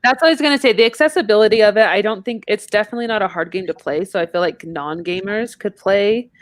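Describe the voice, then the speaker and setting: A woman talks casually as a podcast co-host in a group conversation. Her voice is mid-pitched and recorded dry, with no room sound around it. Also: fast, no room sound. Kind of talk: casual group chat about video games.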